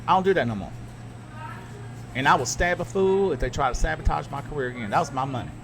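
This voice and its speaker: A man's voice: a little high, fast, creaky, background noise. A man hosting a casual podcast chat, telling stories candidly and emphatically, with plenty of restarts. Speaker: podcast host and radio broadcaster. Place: radio studio.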